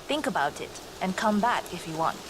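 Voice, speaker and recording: A woman's voice: mid-range voice, big pitch swings, brisk, noisy background, natural voice. A woman playing a composed, businesslike assistant in a video game, polite but firm. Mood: politely firm. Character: composed, businesslike female assistant receiving guests. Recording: clear background music.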